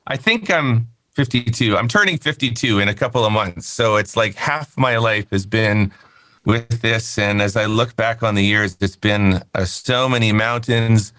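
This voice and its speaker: Candid, bright-voiced man in his fifties. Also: on the low side, normal speed, no room sound. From Canada. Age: 50s. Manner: candid, rambling, laughs easily. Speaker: guest, company founder. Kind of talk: casual interview chat.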